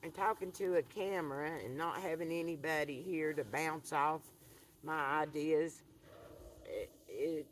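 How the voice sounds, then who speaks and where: A woman, talking earnestly to a camera by an outdoor fire pit, soft-spoken and halting. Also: low voice, a little hiss or hum. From rural Appalachian North Carolina. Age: 70s. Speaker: vlogger. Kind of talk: reflective monologue to camera.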